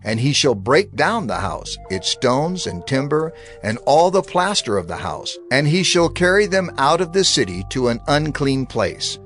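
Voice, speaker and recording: Expressive male scripture narrator. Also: fairly high pitch, normal speed, hardly any pauses, soft background music. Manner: steady, expressive, reverent reading.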